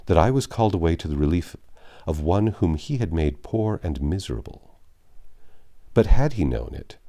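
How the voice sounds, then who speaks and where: A deep, mellow voice for a grave middle-aged English gentleman in a storybook, acted out by a male narrator. He speaks formally and earnestly, halting at times, with sorrow held in check and a lively rise and fall. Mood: bitter, indignant. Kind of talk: dramatic audiobook reading of classic novel.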